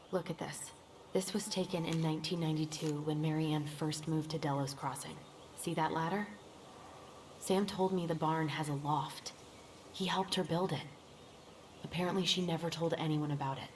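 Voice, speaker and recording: An actress as a caring young American woman in a video game cutscene. Her voice sits a little low and she speaks earnestly and naturally. There is clear background noise and ambience. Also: steady tone, normal speed, long pauses, lightly acted. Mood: earnest, serious. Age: young adult.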